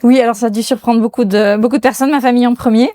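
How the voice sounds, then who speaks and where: A woman's voice: a little high, steady tone, very fast, dark tone, dry recording. A woman being interviewed as a company executive, speaking in a hesitant way with many fillers and restarts, recorded in a restaurant. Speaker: guest executive. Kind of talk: interview conversation. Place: restaurant in Paris.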